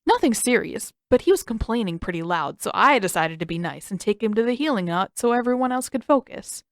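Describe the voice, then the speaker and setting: A woman's voice: fairly high pitch, animated, fairly quick, no room sound. A woman voicing an intense, suspicious teenage boy in an audiobook, emphatic and insistent. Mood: defensive, insistent. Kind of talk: acted audiobook dialogue.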